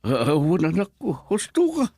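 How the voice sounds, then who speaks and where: An actor playing a gentle older father who advises his daughter in a slow, soothing way. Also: fairly high pitch, huge pitch swings, husky, no room sound, clean studio sound, full character voice. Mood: fatherly. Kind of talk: radio drama acting.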